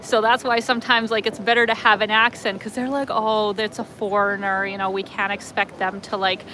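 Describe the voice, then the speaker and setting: A woman's voice: medium pitch, little pitch movement, brisk, bright, forceful tone, slight background noise, rough recording. A woman with a Canadian accent chatting in a rambling way, with many fillers, while she walks city streets on a live phone stream. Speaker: travel vlogger. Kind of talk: live walking-tour chat.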